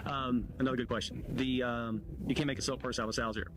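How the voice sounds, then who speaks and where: A man answering a question in a folksy way, with halting starts. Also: mid-range voice, steady tone. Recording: clearly noisy archive recording.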